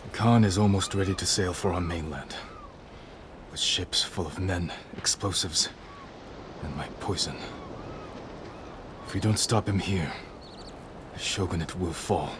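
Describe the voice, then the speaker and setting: An actor playing a grave, resolute samurai warrior in a video game, speaking in a terse, solemn, measured way. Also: male voice, deep voice, background noise. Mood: grim determination. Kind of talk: video game cutscene acting.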